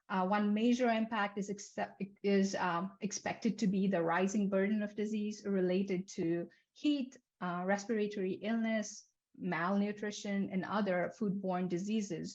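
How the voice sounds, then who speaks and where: A young woman from Nepal giving an academic seminar talk, with a measured, list-like delivery and frequent fillers. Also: mid-range voice, darkish tone. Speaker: seminar speaker, climate researcher. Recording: slightly dull lo-fi lecture audio.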